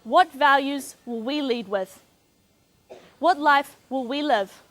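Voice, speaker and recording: A teenage woman from New Zealand with a New Zealand accent, giving an earnest, measured competition speech. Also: sits high. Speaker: speech contestant.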